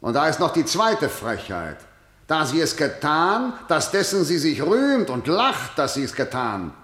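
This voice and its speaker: A male actor playing a stern, imperious king, declaiming deliberately in a verse radio drama. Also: a little high, huge pitch swings, fairly bright tone, echoey room, heavily acted. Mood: indignant. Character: stern, imperious king interrogating a defiant prisoner.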